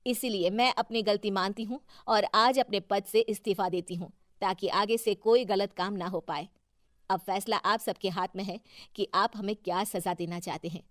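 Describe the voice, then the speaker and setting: A woman's voice: mid-range voice, steady tone, moderate pace, no room sound, studio quality, lightly acted. Woman playing an earnest, indignant wronged wife. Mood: earnest, resolute. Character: wronged, earnest wife and councillor finding her courage. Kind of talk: cartoon moral story dialogue.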